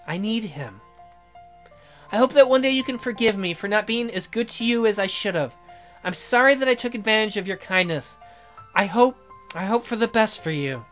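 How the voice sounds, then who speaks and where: Romance game heroine, played by a man: high voice, tender and earnest, pleading, music underneath. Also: animated, moderate pace, a bit bright. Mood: apologetic, earnest. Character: tender, guilt-ridden young woman in romance.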